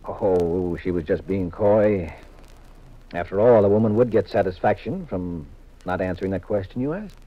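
A muffled vintage radio recording of an American detective husband. His voice is low, and he speaks with wry, teasing assurance. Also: normal speed. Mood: relaxed, reassuring. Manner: wry, assured, leading questions. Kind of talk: 1950s radio mystery acting.

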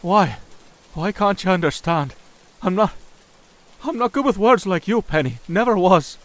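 A man playing a defensive demon thug, blunt and halting, in an audio comic dub. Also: high-pitched, lively intonation. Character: gruff, defensive male demon thug, famous ex-fighter. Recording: background music. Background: music.

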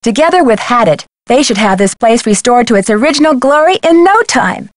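A woman's voice: a little high, lively intonation, fairly quick, dry recording, studio quality, close to an everyday voice. A woman playing a level-headed teenage girl detective in a video game, speaking scripted lines in a composed, conversational way. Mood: reflective. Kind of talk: scripted game dialogue and letter narration.

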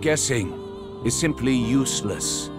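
An actor playing a calm, calculating middle-aged schemer in a video game, speaking in a measured, probing way. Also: male voice, mid-range voice, over music, close to an everyday voice. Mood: musing. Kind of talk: cinematic video game voice acting.

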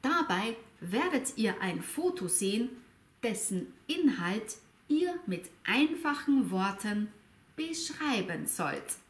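A woman in her fifties teaching a language lesson, speaking slowly and over-articulating every word. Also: fairly high pitch, sing-song. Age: 50s. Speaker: German teacher. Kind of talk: slow, clear language lesson. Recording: roomy echo.

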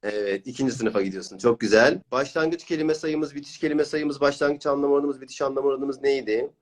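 A man's voice: medium pitch, brisk. A man working as a reading coach and host, interviewing clients and explaining his course in an encouraging manner. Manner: encouraging, praising.

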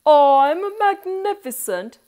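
A woman's voice: sits high, sing-song, slow pace, a little mellow, pitched above the performer's own voice, exaggerated voice. A woman voicing a grand, self-satisfied king in a children's storybook, declaiming pompously. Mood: boastful.

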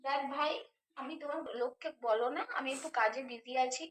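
A woman's voice: high-pitched, a little fast, fairly bright tone, echoey room. A woman on the receiving end of a phone call, answering dismissively and sarcastically. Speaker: prank call target.

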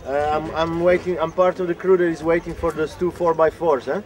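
A man's voice: fairly high pitch, level tone, brisk, darkish tone. A man on an expedition crew, talking firmly and emphatically in a documentary. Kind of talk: documentary account and on-location talk. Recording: distant mic under music.

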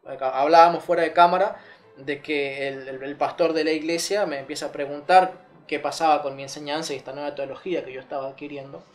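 Earnest man, slightly high voice. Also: fairly even intonation, fast talker, soft background music. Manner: earnest, reflective, many fillers and restarts. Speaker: interview guest. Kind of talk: personal testimony in an interview. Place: living room with curtains, seated in armchair. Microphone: lapel mic.

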